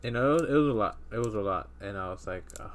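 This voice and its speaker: Low-voiced man rambling on a podcast. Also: animated, quick pace. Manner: rambling, many fillers and restarts. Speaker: podcast host. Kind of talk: casual chat.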